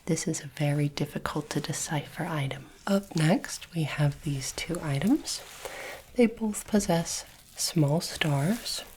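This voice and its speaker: A woman doing a soft-spoken, whispered ASMR roleplay as a polite, formal alien interviewer, with deliberate phrasing. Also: low voice, slight background noise, rough recording.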